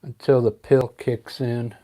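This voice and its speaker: A man with a slightly scratchy voice, softly talking through a painting he is working on during a live stream from his studio. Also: medium pitch, little pitch movement, measured pace. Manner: soft-spoken, thinking aloud while painting. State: scratchy voice from singing and allergies. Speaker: artist livestreaming painting. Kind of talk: casual live painting commentary. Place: home art studio. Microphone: clip-on mic after plugging it in.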